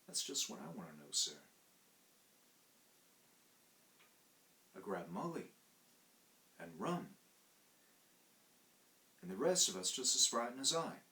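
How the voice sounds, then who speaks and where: A man voicing a blunt young Cockney servant in a read-aloud story, in rough working-class speech full of "sir". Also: medium pitch, sing-song, measured pace, long pauses, sharp tone, voice pushed up, heavily acted. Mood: uneasy. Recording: roomy echo.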